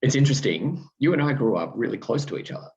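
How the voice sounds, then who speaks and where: A man with an Australian accent hosting a casual interview, talking haltingly with many fillers and restarts, laughing easily. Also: mid-range voice, big pitch swings, a little mellow, lo-fi sound. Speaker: podcast host.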